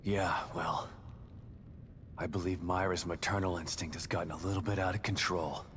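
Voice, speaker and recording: A man playing a gruff, weary, determined ex-detective in a survival horror game, speaking tersely and sardonically. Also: low voice, level tone, normal speed, fairly bright tone, a little hiss or hum. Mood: bitter.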